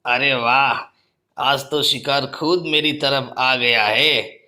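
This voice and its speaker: A man voicing a greedy, bullying male eagle in a cartoon, gloating with drawn-out threats. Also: medium pitch, bright, forceful tone.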